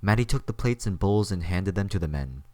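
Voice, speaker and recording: A man with a deep voice narrates a story from a script. He serves as the narrator of an audio drama. The recording is close and dry, with no room sound. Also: lively intonation, brisk, a little mellow, no room sound.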